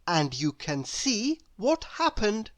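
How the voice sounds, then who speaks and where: A woman reading a children's story aloud expressively, addressing young listeners with dramatic pauses. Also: medium pitch, sing-song.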